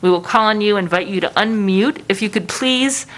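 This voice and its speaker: A woman's voice: medium pitch, moderate pace. An American woman politely moderating reporters' questions on a video call in a businesslike way. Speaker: press conference moderator.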